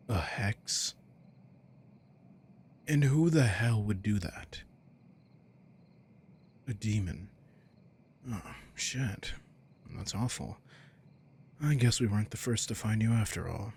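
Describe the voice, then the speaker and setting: A man's voice: on the low side, expressive, takes it slowly, pauses often, darkish tone, slightly rough, full character voice. A man playing a caring vampire king in an intimate roleplay audio, speaking in a casual, teasing, protective way. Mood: shocked, concerned. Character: commanding but caring male vampire king.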